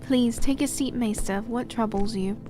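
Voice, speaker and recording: A composed, formal queen from an audio drama, voiced by a woman. Her voice sits fairly high and her lines come slow and measured. Soft music plays underneath. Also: close to an everyday voice. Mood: regal, concerned. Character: reserved young woman, later a composed queen.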